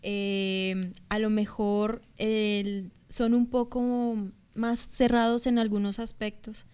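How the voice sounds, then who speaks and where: A woman in her thirties with a Bogotá Colombian accent, speaking in a smooth, resonant voice at a middle pitch. She talks as an interview guest, chatty, pausing on fillers and restarting her sentences. The sound is a television studio interview. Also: little pitch movement, a little mellow. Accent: Bogotá Colombian Spanish. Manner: talkative, hesitant fillers, frequent restarts. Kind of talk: conversational interview testimony.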